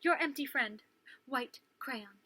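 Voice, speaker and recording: A forlorn storybook crayon that feels empty and overlooked, acted out by a woman. It speaks plaintively in a high, bright voice. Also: slow, slightly rough, dry recording, full character voice. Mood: sad, plaintive. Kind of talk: children's picture book read-aloud.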